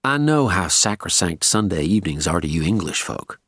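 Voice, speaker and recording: A man's voice: low voice, very animated, moderate pace, a bit bright, husky, no echo, studio quality, exaggerated voice. A man voicing a polite but menacing Southern American heavy, courtly with a steely edge, in a Southern US accent.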